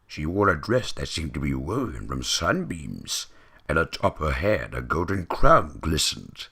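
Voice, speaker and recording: A man reads a dramatic story in an extremely low voice with a creaky, gravelly grain. His delivery is theatrical and deliberate, with wide, animated swings in pitch, in the grand style of a veteran voice actor. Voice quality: deep, gravelly. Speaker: narrator of fan fiction readings. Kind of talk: dramatic scripted story narration. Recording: music behind the voice. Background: background music.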